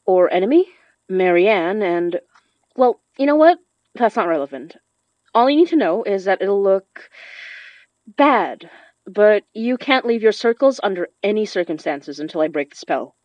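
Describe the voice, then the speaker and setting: A woman's voice: mid-range voice, big pitch swings, measured pace, dry recording. A woman playing a determined, informal young spirit summoner in a horror audio drama, giving things a self-possessed, casual tone. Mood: serious. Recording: dry, slightly dull studio sound.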